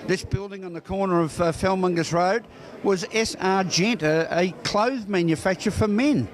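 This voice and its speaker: A man's voice: high voice, moderate pace. An Australian man interviewing guests as a local news reporter, with florid, ceremonious phrasing. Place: busy celebration venue.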